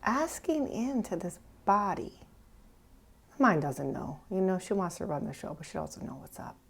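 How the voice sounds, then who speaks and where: A woman meditation teacher leading a guided meditation gently, with pauses, often trailing off into a breathy near-whisper. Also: medium pitch, very animated. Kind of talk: guided meditation, unhurried and instructive.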